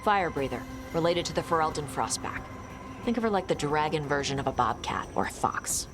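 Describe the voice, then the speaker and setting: An actress playing a blunt, guarded young dragon hunter in a video game, speaking in terse, casual phrases. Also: female voice, low voice, animated, brisk. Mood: focused, blunt. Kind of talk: video game cutscene acting. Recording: music behind the voice.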